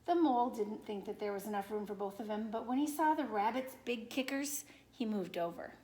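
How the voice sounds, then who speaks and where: A woman reading a children's picture book aloud in an expressive, measured storytelling manner. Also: on the high side. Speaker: storybook reader.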